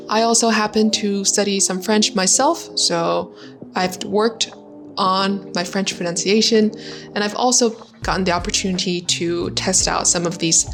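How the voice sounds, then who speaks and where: Slow-talking woman teaching pronunciation. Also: medium pitch, a bit bright. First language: Vietnamese. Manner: slow, careful demonstration of sounds. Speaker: language teacher. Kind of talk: pronunciation lesson to camera.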